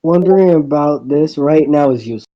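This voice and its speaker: Halting male story reader, muffled recording. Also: fairly high pitch, mellow. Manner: halting, stumbling over words while reading. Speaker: visual novel reader. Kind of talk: narrated first-person reading of a visual novel.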